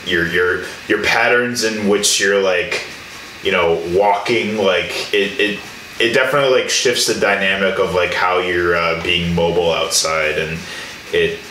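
Deep-voiced young man, bright and roomy. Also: steady tone, unhurried, a little hiss or hum. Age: young adult. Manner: rambling, many likes and fillers. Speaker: podcast host. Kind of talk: casual interview chat.

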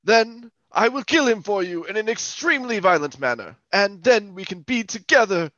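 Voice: mid-range voice, lively intonation, normal speed, fairly bright tone, natural voice. A performer playing an ardent, overwrought male lover in a comic radio drama, heard through slightly dull video-call audio. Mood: devoted, menacing. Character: ardent, melodramatic lover, male, casually murderous. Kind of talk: over-the-top comic radio romance acting.

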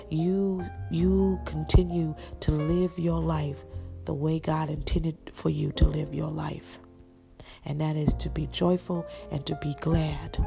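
A woman with a raspy voice, preaching an encouraging sermon and praying with an earnest, repetitive cadence. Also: low voice, dark tone. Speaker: podcast minister. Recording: music playing behind the voice.

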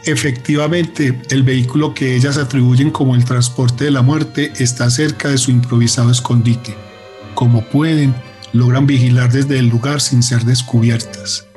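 Male narrator, mid pitch, dramatic scripted storytelling, music bed. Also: steady tone, normal speed, darkish tone.

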